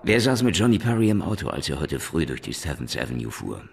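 A gravelly, deep tough cop. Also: male voice, very animated, fast, full character voice. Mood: menacing. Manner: menacing, dry, interrogating. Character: tough, sardonic veteran cop. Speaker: police detective. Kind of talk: radio play acting.

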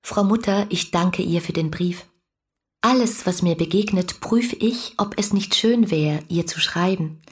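An actress playing an ardent, dreamy young letter writer, breathy and confiding, in a radio play. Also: female voice, lowish voice, unhurried. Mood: confiding, musing. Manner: musing, confiding, intimate.

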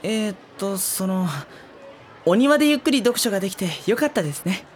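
A woman's voice: medium pitch, animated, brisk, slight background noise, close to an everyday voice. A woman voicing a mild, wry schoolboy. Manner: polite, dry, mildly exasperated replies. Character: mild, put-upon teenage schoolboy narrator. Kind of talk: studio audio drama.

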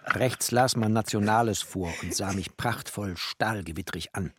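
A man's voice: on the low side, unhurried, breathy edge. A man narrating expressively in the first person, literary in style, with a wry, theatrical manner.

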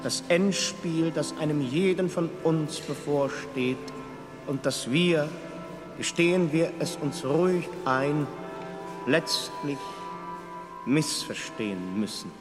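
A solemn, deliberate recitation for an absurdist radio play. The voice is slightly high for a man and echoes in a long reverb, with music clearly behind. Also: lively intonation.

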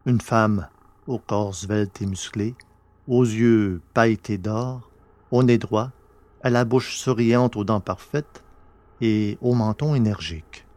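A man's voice: fairly low pitch, steady tone, slow, darkish tone, dry recording. A man reading scripted story narration in the role of narrator.

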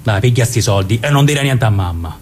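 A man's voice: fairly low pitch, animated, fairly quick, darkish tone, a little hiss or hum, lightly acted. A man playing a blunt, protective but insecure brother with a southern Italian accent, in a colloquial radio drama reading. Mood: teasing, affectionate.

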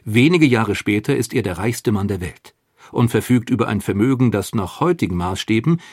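A man narrating a history documentary in a calm, even, scripted way. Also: fairly low pitch, moderate pace, dry recording, clean studio sound.

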